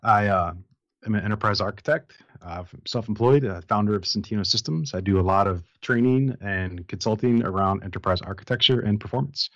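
A man from Tennessee, chatting as a guest on a video call in a friendly, fast, rambling way. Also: fairly low pitch, a bit bright, no echo, dull audio. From Tennessee, USA. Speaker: guest, enterprise architect. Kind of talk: casual video-call chat.

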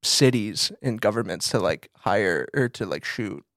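An American man co-hosting a casual conversation, telling stories in an animated, slangy way and peppering his talk with "like". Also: lowish voice, unhurried, gravelly, no room sound, studio quality. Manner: animated storyteller, swears casually, frequent "like". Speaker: podcast co-host and photographer.

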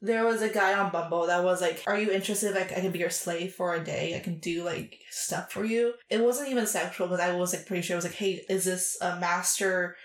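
A woman's voice: on the low side, fairly even intonation, fairly quick, few pauses. Frank woman full of "like" fillers. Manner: frank, hesitant, heavy on "like" fillers. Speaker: interview guest. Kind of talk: candid casual chat. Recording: roomy echo.

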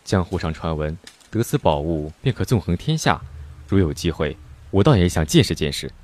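An actor playing a mysterious, calm young swordsman who talks in a husky, unhurried, teasing way. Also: low-pitched, a little hiss or hum. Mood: cool teasing. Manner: cool, teasing, unbothered. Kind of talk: Chinese audio drama acting.

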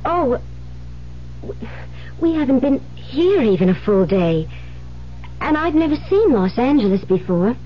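An American actress playing a frightened, anxious new bride who speaks hesitantly and evasively, in 1970s radio drama style. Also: female voice, fairly high pitch, big pitch swings, unhurried, long pauses. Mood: nervous, pleading. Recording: muffled, hissy old radio broadcast with hum.

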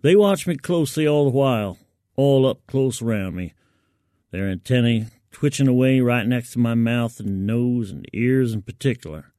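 Male fiction reader, medium pitch, colloquial and wry first-person storytelling, very dry recording. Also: moderate pace, warm tone, no room sound. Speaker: fiction narrator.

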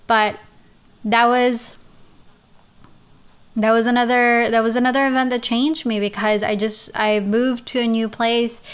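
Young woman from the Philippines, casual confessional talk, smooth clear voice, flat even delivery, halting with restarts. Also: fairly high pitch. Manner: halting, with many restarts and soft laughs. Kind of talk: casual personal storytelling, answering questions.

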